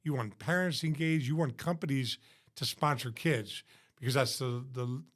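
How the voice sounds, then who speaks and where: A man's voice: mid-range voice, normal speed, fairly bright tone. Man hosting a conversational podcast. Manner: conversational, prompting questions. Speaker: podcast host. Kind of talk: interview host.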